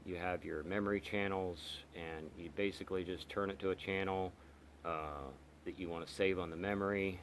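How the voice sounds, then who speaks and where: A man casually walking through the features of a radio he is reviewing, explaining step by step with many pauses and restarts. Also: low-pitched, fairly even intonation, slight background noise. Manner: halting, lots of ums and restarts, explains step by step. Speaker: radio hobbyist reviewing gear. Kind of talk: casual product walkthrough.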